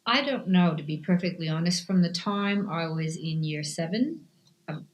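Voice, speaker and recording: Canadian woman in her 50s with a half-aussie accent, lower voice, earnest coach talking candidly. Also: expressive, moderate pace. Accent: mix of Canadian and Australian. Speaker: cycling coach. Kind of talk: interview answers.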